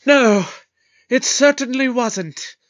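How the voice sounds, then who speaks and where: An actor playing a brisk, eccentric investigator in an audio drama, speaking in a measured, deliberate way. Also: high voice. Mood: grave, urgent.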